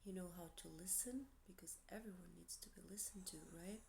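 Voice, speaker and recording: Woman, Portuguese accent, tarot reader, chatty and meandering, home video with steady background hiss. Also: fairly low pitch, brisk, creaky. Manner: chatty, meandering, light laughs. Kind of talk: casual tarot reading to camera.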